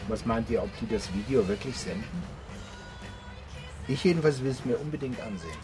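A male actor as a blustering, sardonic man in a radio play. His mid-pitched voice leaps up and down with belligerent swagger. Music plays clearly behind him. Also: animated, a little fast, natural voice. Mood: brusque, eager. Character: brash, belligerent man bragging among friends. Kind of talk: radio drama acting.

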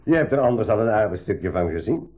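A man's voice: lowish voice, big pitch swings, fast. A man playing a plain-spoken, alert travel companion in a 1980s school radio play. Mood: agreeable. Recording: thin phone-like radio recording.

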